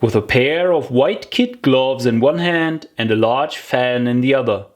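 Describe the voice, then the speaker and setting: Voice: medium pitch, lively intonation, a little slow. An audiobook narrator reading a scripted children's story.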